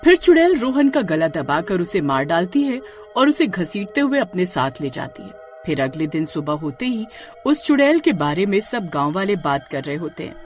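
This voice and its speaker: A woman's voice: fairly low pitch, very animated, normal speed, soft background music. A woman narrating a scripted horror story as a storyteller.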